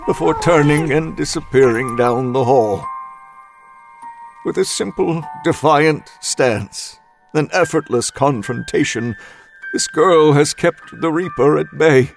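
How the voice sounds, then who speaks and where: Slow, measured male horror narrator. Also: medium pitch. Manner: measured, dramatic, deliberate. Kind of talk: scripted first-person horror narration. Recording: music bed underneath.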